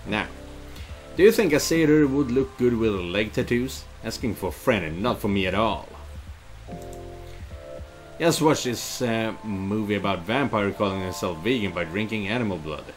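A middle-aged man streams a game in a casual, expressive way. His pitch moves a great deal as he comments and reads text aloud. Music and rain sit behind him. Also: normal speed. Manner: expressive, exclaiming. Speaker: streamer playing a visual novel. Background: game music and rain sounds.

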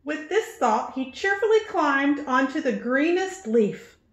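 A middle-aged woman with a high, very animated voice reads a storybook to small children. She phrases slowly and expressively, like a preschool teacher. The sound is roomy, as in a small office. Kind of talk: read-aloud picture book storytelling for young children.

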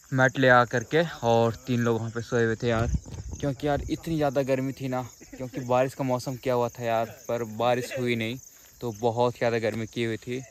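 A young man vlogging from a forest campsite, talking casually to viewers and joking with his friends, recorded on a handheld camera. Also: mid-range voice. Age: young adult. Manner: chatty, addresses viewers as guys, jokes with friends. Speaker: camping vlogger. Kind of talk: casual vlog chat. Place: outdoors in a forest campsite and inside a tent.

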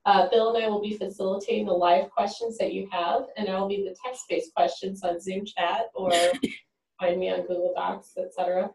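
A woman welcomes attendees and introduces the speaker at the start of a webinar in an upbeat, scripted way. Her voice is at a middle pitch and sounds distant and echoey, as if she sits back from a computer mic. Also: steady tone, moderate pace, vocal fry, a little mellow. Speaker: webinar host.

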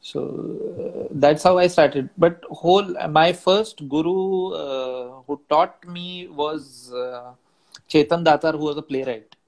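A man's voice: on the high side, slow pace, darkish tone, poor audio. Indian man, thoughtful and hesitant. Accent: Indian English. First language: Marathi. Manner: thoughtful, hesitant with many uh fillers and restarts. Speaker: musician and storyteller guest. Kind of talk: reflective conversational interview. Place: home study with bookshelves, indoors. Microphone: wired earphone mic on video call.

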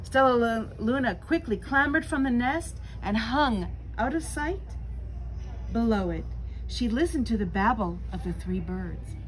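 A woman in her sixties reading a picture book aloud to children in a slow, dramatic storytelling manner, with little asides. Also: fairly high pitch, animated, low-quality recording. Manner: slow, dramatic, deliberate phrasing. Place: small bookstore, indoors. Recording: clear background room noise.

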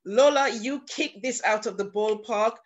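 A woman on a video call who pours out gratitude and encouragement. Her voice sits slightly high, and she speaks with feeling as she rallies the other women. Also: a little slow, a little hiss or hum. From not Nigeria. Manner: effusive, emotional, encouraging. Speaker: attendee. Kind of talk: casual online meeting remarks.

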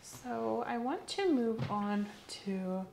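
A soft-spoken woman in an ASMR medical exam roleplay, giving gentle, measured instructions as the examiner. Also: a little high, expressive, creaky, slight background noise. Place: small indoor room set up as an exam room.

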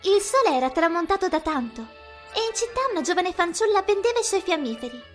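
A woman voicing a storybook narrator in an anime fandub, telling the tale in a measured storytelling manner. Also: extremely high pitch, lively intonation, soft background music. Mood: narrating. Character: storybook narrator of a children's stage play.

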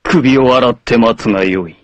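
An actor playing an arrogant, obsessive warlord villain in a 1980s anime, haughty, menacing and theatrical in delivery. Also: male voice, medium pitch, moderate pace, no echo, close to an everyday voice. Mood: gloating arrogance. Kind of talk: dramatic 1980s anime voice acting.

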